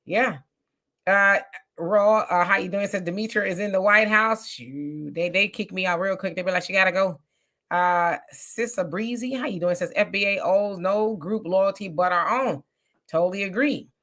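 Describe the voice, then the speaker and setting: A woman's voice: medium pitch, normal speed, fairly bright tone. A woman hosting a live discussion, reading viewer comments aloud and answering them in an emphatic, conversational way. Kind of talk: casual live-stream commentary.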